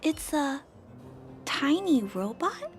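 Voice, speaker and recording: A woman voicing a gentle, curious young girl in video game story dialogue, hesitant and thoughtful. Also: sits high, big pitch swings, takes it slowly, soft background music, exaggerated voice.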